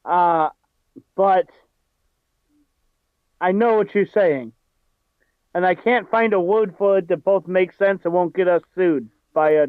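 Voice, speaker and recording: A man's voice: high voice, slow, long pauses, clean tone, no echo, phone-quality sound. A man co-hosting a casual podcast, choosing his words carefully and hedging. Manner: careful, hedging word choice. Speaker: podcast co-host.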